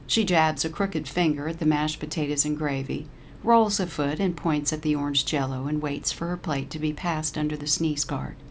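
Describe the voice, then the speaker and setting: A woman's voice: low-pitched, steady tone, normal speed, slight background noise. A woman reading a scripted humorous short story as narrator.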